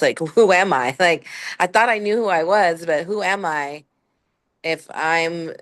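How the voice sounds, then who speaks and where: Low-voiced woman in her fifties. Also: no room sound. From Southern California. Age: 50s. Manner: rambling, laughs between thoughts. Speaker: panel guest. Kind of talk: casual personal story.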